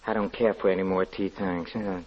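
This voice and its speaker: An actor playing a nervous, secretive lodger in a 1940s radio drama, speaking in a halting, formally polite way with quiet menace. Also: male voice, deep voice, little pitch movement. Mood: timid, polite. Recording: muffled, noisy 1940s radio recording.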